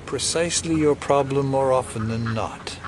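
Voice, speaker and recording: A male actor as a cold, calculating older conspirator in an audio drama. He speaks at a middling pitch with formal, superior, long-winded phrasing, over clearly noisy ambience. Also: normal speed, lightly acted. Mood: smug, condescending. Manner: formal, condescending, verbose. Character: cold, scheming older conspirator, a clone of himself.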